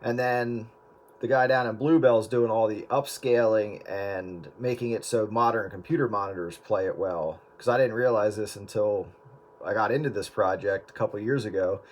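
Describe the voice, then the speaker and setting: A man chatting casually about editing old video, rambling with many fillers and restarts. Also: lowish voice. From US Northeast. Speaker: video editor. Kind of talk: casual chat.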